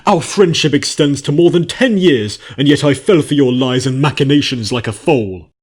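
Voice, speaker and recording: A man's voice: medium pitch, moderate pace, slightly rough, pitched above the performer's own voice, lightly acted. A man voicing a formal, earnest male unicorn noble with a dramatic manner in a fantasy story. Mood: horrified.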